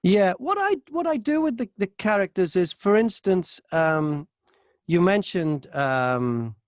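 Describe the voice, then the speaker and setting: A man's voice: high-pitched, big pitch swings, unhurried, no echo, clean studio sound. A British man, an audiobook narrator, speaking as an interview guest and explaining things conversationally with examples.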